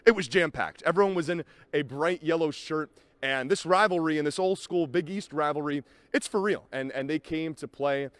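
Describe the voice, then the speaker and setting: A man working as a sports announcer and reporter, delivering highlights and reports in a punchy, emphatic broadcast style. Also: moderate pace.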